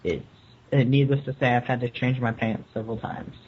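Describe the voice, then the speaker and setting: A man's voice: medium pitch, fairly even intonation, a little fast, dull audio, a little hiss or hum. A man co-hosting a podcast in casual banter. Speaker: podcast co-host.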